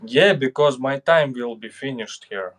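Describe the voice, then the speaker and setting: A man's voice: mid-range voice, a bit bright. A man from Russia with a Russian accent, hosting a casual chat in English and often agreeing along. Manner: slow, chatty, agreeing often. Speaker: host.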